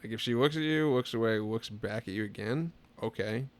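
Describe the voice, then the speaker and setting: A man in his twenties, a podcast guest, chatting casually and telling stories in a relaxed way, full of fillers. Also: on the low side, brisk. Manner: relaxed storytelling, lots of filler "like". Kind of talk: casual chat.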